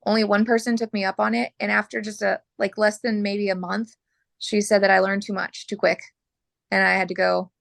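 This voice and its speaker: A woman from Oklahoma, a butcher, talking frankly as a podcast guest while losing her voice and clearing her throat. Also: mid-range voice, level tone, a little fast, a bit bright, dry recording, clean studio sound. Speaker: butcher and mobile processor owner.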